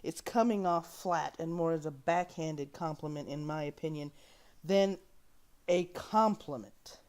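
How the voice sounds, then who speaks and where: A woman's voice: low-pitched, a little slow, a little mellow, clean tone. A woman hosting a reaction show, giving casual, opinionated and critical commentary on a film clip, stressing key words.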